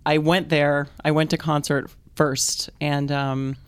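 A woman's voice: sits low, little pitch movement, slow, clear, resonant tone, dry recording. A young woman, a mezzo-soprano, chatting enthusiastically in an interview and laughing often between rambling asides. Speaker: opera and concert singer, guest. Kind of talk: casual interview chat.

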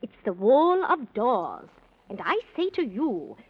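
Husky, grand elf king. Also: fairly high pitch, huge pitch swings, exaggerated voice. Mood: helpful, solemn. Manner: grand, rhyming, deliberate. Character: pompous, generous storybook elf king, speaking in rhyme. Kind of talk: 1930s children's radio serial acting. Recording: muffled 1930s radio recording.

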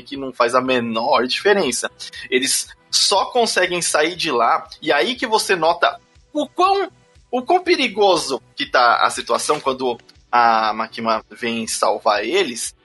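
A man's voice: a little high, animated, moderate pace, bright, forceful tone, husky. A Brazilian man chatting casually as he leads a podcast discussion. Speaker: podcast host leading discussion. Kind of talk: casual chat about manga. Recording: faint music behind the voice. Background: music.

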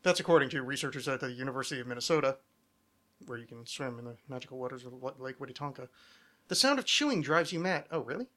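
A man's voice: medium pitch, expressive, fast talker, bright, forceful tone. A man hosting a podcast with casual comedic banter, rambling and sardonic, swearing freely. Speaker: podcast host.

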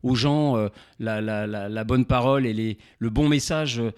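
A man in his fifties from Normandy, answering as an interview guest, often pausing and repeating words as he searches for phrasing. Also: medium pitch, a little mellow, clear, resonant tone, dry recording, clean studio sound. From Normandy, France. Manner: hesitant, halting, repeats words while searching. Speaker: interview guest, insurance broker. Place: indoors, radio studio.

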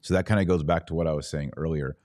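Deep-voiced man hosting a podcast. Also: fairly even intonation, quick pace, darkish tone, dry recording, studio quality. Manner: earnest, conversational, rising to indignant emphasis. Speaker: podcast host. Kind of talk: livestream podcast reading viewer comments.

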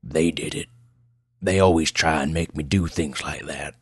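Gruff old backwoods man, acted by a man. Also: rumbling low, fairly even intonation, no room sound. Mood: suspicious. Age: 70s. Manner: halting, folksy, rustic.

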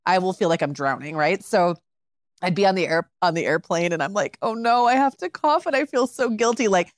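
A woman's voice: on the low side, fairly quick, no room sound, studio quality. A woman co-hosting a podcast in casual conversation, easygoing and optimistic, with frequent "like"s and a lingering cough after a flu. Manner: easygoing, optimistic, says "like" often. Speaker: podcast co-host.

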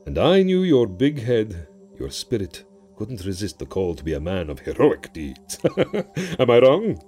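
An ancient male djinn for a fantasy audiobook, proud and wily. The voice is very deep and swings dramatically in pitch. He declaims and taunts in a mellow, shadowy tone, with music behind. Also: wildly swooping pitch, measured pace. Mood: gleeful pride.

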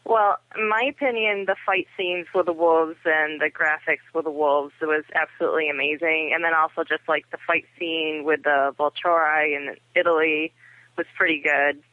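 A woman's voice: deep voice, fairly even intonation, normal speed, no room sound. A woman giving emphatic opinions as a fan reviewer in a casual podcast discussion. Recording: tinny phone line, dry.

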